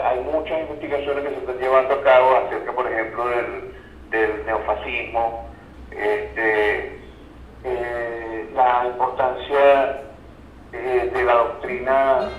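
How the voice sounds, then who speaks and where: A man's voice: mid-range voice, a little hiss or hum. A man speaks as a professor being interviewed, repeating words as he looks for the next phrase. The sound is roomy and somewhat dull, picked up at a distance from the microphone. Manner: halting, repeats words while searching for phrases. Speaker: interview guest, university professor and researcher. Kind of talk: interview, explaining political and academic ideas.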